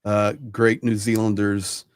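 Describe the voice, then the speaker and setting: A man with a somewhat low voice co-hosts a live stream, and he sounds close and dry. He talks emphatically, agrees strongly with his partner and thanks viewers warmly by name. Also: flat delivery, slow, smooth, dry recording. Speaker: co-host. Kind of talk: casual live chat.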